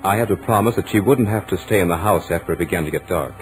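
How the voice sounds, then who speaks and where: An actor playing a self-assured, studious young gentleman narrating his own tale in measured, literate 1940s radio drama style. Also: low voice, faint music behind, natural voice. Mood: composed, amused.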